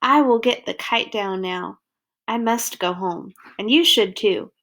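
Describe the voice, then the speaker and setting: A woman voicing a friendly, excitable young boy from a children's storybook, exclamatory and earnest. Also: medium pitch, unhurried. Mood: dismayed.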